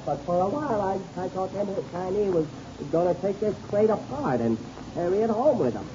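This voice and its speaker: An excitable, stammering mechanic as comic relief in a 1930s radio serial. His pitch swoops about as he talks in folksy, broken grammar, on a noisy, dull recording. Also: a little fast. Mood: flustered amazement. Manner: stuttering, ungrammatical folksy talk.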